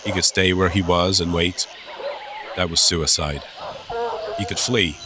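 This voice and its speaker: Deep-voiced male suspense narrator. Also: steady tone, crowd noise. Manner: suspenseful, deliberate phrasing. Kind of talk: scripted dramatic audiobook narration. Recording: clear background ambience noise.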